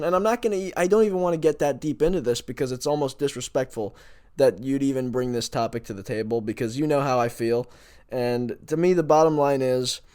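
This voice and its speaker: A man's voice: medium pitch, a little mellow. A man co-hosting a sports podcast, giving opinions in an exasperated, emphatic and insistent manner.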